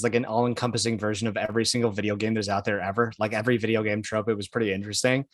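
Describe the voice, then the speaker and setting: A man's voice: fairly low pitch, no echo. Man chatting on a very dry podcast mic. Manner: chatty, informal. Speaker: podcast co-host. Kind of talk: casual podcast chat.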